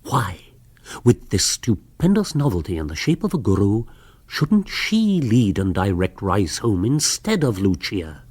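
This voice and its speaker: A wry storyteller in a radio comedy. He is urbane and lively in pitch, with a husky tone and a dry studio sound, relishing each literary phrase. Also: measured pace, no room sound, exaggerated voice. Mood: wry, dramatic. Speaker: narrator. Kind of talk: dramatised literary narration.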